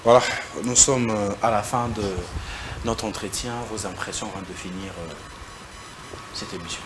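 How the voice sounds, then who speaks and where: A man hosting a casual interview, firing quick true-or-false questions in a relaxed way. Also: on the low side, noisy background. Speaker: interview host. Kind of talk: casual interview in French mixed with Lingala. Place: indoor studio set.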